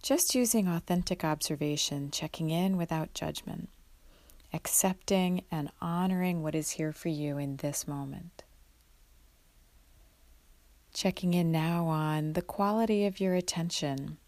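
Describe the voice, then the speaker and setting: Casting: female meditation guide, low register, gravelly fry at the edges, gentle unhurried delivery, close dry sound. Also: no room sound. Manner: gentle, unhurried, with long pauses. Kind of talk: guided mindfulness meditation, instructional.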